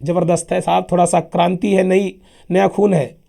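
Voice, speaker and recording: A man with a sore throat teaching a lively history lesson for exams, emphatic and chatty, often calling his listeners sir. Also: high-pitched, moderate pace. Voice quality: husky, gravelly. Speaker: exam coaching teacher. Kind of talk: lively classroom history lecture.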